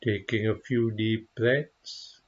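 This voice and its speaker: A man leading a guided meditation as a teacher, speaking gently and unhurriedly with frequent pauses and a husky voice. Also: fairly low pitch, steady tone, highs cut off. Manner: gentle, unhurried, pausing often.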